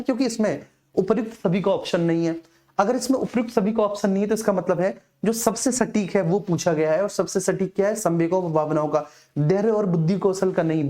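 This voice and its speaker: A man's voice: high voice, warm tone. A man teaching an exam coaching class informally, explaining repetitively and addressing his students directly.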